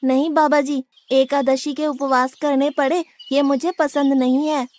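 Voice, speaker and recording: Woman voicing a high-pitched, stubborn village lad. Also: little pitch movement, unhurried, a little mellow, no echo. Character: naive, big-eating, stubborn young village lad Adhaiya. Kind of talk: animated moral story dialogue in Hindi.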